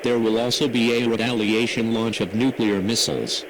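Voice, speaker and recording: A man reading a scripted emergency broadcast announcement in a formal, measured, impersonal manner. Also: medium pitch, steady tone. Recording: noisy emergency radio broadcast audio.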